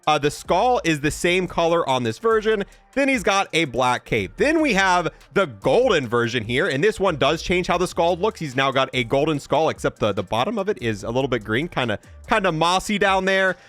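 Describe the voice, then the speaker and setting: A man's voice: a little high, sing-song, moderate pace, few pauses, fairly bright tone, dry recording, faint music behind. A man giving casual, offhand commentary as he showcases a video game, with some dry humor. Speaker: gaming video presenter.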